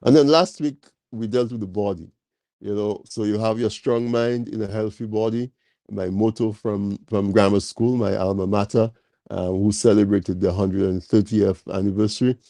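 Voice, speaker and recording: A man hosting a live talk program, slightly nasal from a cold, speaking slowly with many fillers as he welcomes his audience. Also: on the low side, no echo. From Caribbean. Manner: slow, warm welcoming address with many fillers. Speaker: talk show host.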